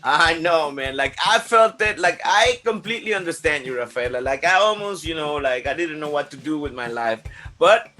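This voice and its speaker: A man's voice: fairly high pitch, lively intonation, moderate pace, faint music behind. A young adult man hosting a podcast interview, talking loosely with lots of "like" and fillers. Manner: loose, filler-heavy, warm-hearted chatter. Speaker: podcast host. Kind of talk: casual interview chat.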